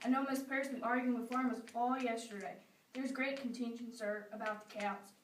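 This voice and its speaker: An earnest, simple clerk played by a woman, rambling deferentially in a fairly high voice. The amateur drama is recorded from far off with room echo. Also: steady tone, brisk, close to an everyday voice. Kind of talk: amateur stage-play acting. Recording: echoey room, distant mic, faint noise.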